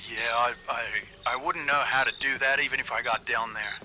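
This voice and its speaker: A man's voice: medium pitch, fairly even intonation, a little fast, pushed and bright, slight background noise, low-quality recording. A man acting as a reluctant park colleague roused at night, answering hesitantly in a found-footage horror drama. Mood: reluctant, puzzled.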